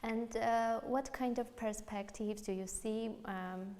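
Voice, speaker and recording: A woman's voice: fairly high pitch, fairly even intonation, takes it slowly, darkish tone, long reverb tail. A young woman interviewer asking questions hesitantly, with frequent ums and uhs. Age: young adult. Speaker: youth interviewer.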